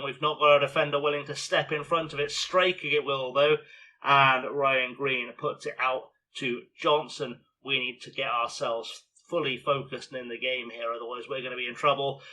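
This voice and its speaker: A man with a scratchy, hoarse voice giving chatty, self-mocking play-by-play commentary on a football management game. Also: mid-range voice, pushed and bright. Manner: chatty, self-mocking, narrates match action. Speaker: video game streamer.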